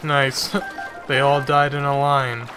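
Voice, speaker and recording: A young man with a stuffy nose giving slow, halting casual commentary on a game from his bedroom. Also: medium pitch, flat delivery, music in the background. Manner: slow, halting, self-correcting chatter. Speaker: gaming channel host. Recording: noisy home recording.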